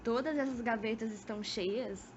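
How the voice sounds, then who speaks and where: A woman acting an uneasy, high-voiced young Brazilian woman. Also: normal speed, natural voice. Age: young adult. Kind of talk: amateur radio drama acting. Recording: dull, noisy amateur recording.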